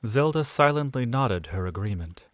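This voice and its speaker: A man narrating a romantic fan audio drama, measured and soft. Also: mid-range voice, expressive, no echo.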